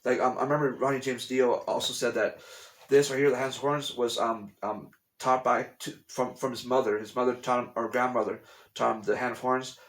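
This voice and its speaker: A man in a casual home vlog, talking in a middle-pitched voice. He rambles, circles back to repeat phrases and bluntly waves off conspiracy theories. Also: fairly even intonation, fairly quick. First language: English. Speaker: vlogger. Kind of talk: casual explanatory monologue. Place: at home, room with a TV.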